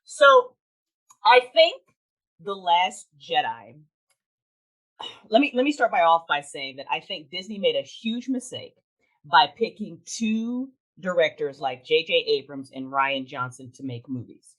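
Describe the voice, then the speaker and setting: A woman's voice: on the low side, expressive, measured pace, poor audio. A woman in a casual, opinionated film chat, talking in an emphatic, blunt way and swearing freely. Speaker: guest, audio drama creator.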